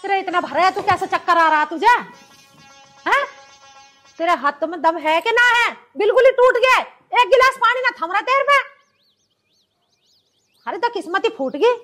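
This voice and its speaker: Woman doing a nagging mother-in-law, super high piercing voice, always scolding. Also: lively intonation, moderate pace, long pauses, pushed and bright, soft background music, heavily acted. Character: scolding older mother-in-law, sharp-tongued. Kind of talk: family drama skit.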